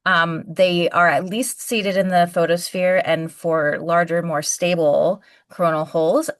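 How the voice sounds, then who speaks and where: Low-voiced woman, dry video-call sound. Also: no echo. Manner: thoughtful, many ums and restarts. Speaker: solar physicist panelist. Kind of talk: webinar Q&A answer.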